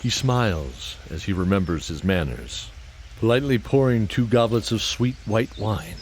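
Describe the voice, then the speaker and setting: A man narrating a scripted fantasy audio drama in a deliberate, atmospheric storytelling style. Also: on the low side, expressive, slight background noise.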